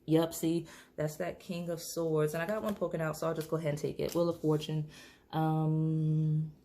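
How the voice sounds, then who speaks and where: Lowish slightly hoarse woman rambling thru a tarot reading, faint hiss behind. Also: level tone, normal speed, mellow. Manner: chatty, filler-heavy, restarts, laughs. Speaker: tarot reader. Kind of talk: casual tarot reading to camera.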